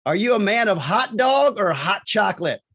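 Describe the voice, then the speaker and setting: A man hosting a casual interview show, playfully teasing his guest with quick-fire questions. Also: sits high, very animated, fairly bright tone. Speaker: show host.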